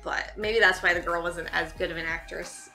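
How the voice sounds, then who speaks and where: A woman's voice: on the low side, fairly even intonation, moderate pace, bright, forceful tone, soft background music, rough recording. A woman in her thirties hosting a casual movie reaction chat, informal, giggly and exclamatory, in a living room. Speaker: host of a movie reaction show.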